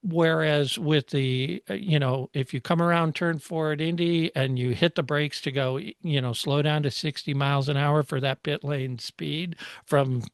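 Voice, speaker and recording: A man co-hosting a casual technical discussion, explaining things in a halting way with frequent pauses. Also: on the high side, steady tone, gravelly, dry recording. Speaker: co-host explaining motorsport technology.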